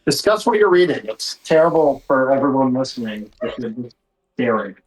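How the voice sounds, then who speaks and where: A man's voice: mid-range voice, very animated, moderate pace, darkish tone, husky, low-quality recording. A man running a tabletop game as game master, talking casually with the players.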